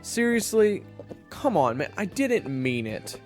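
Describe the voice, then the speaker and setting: A man acts as a sarcastic adventuring leader in a fantasy audio play. He sounds casual and incredulous, with a lively, swinging pitch over quiet music. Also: slow, heavily acted. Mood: casual, pleading. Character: exasperated, sarcastic male adventurer leading a party. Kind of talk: fantasy audio roleplay acting.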